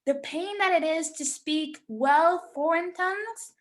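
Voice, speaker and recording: A woman's voice: very high-pitched, unhurried. A woman, a guest talking earnestly and searching for words, sometimes repeating them as she thinks. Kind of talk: reflective conversational talk.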